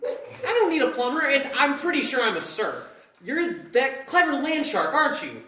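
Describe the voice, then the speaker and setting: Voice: sits high, sing-song, normal speed, sharp tone, full character voice. A performer in a live radio comedy sketch voicing a wary, exasperated householder who questions everything suspiciously. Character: wary householder, exasperated, insisting on being a sir. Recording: roomy distant live mic with hiss.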